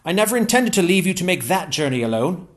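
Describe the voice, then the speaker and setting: A man doing a bright eager scholar. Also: sits high, fast talker, pitched above the performer's own voice. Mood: cheerful, urgent. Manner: warm, lively, breathless with enthusiasm. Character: eager cheerful Englishman, a scholar-traveler. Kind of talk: audiobook character voice.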